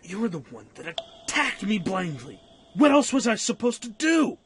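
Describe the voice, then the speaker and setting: A man's voice: high-pitched, very animated, moderate pace, slightly rough, full character voice. A man playing a cautious, earnest young pilot in a radio play, with a slightly hesitant manner. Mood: indignant.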